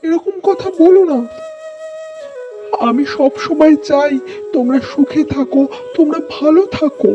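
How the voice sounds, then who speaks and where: A woman playing a weary, gentle elderly mother-in-law in a cartoon family drama, plaintive and placating. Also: high voice, unhurried, long pauses. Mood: pleading. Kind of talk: animated family melodrama acting. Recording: dull lo-fi sound with music.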